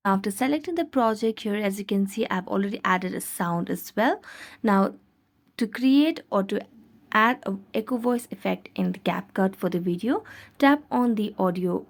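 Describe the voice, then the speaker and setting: A woman's voice: mid-range voice, expressive, moderate pace. A woman hosting a how-to video, narrating scripted step-by-step app instructions. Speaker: tutorial host.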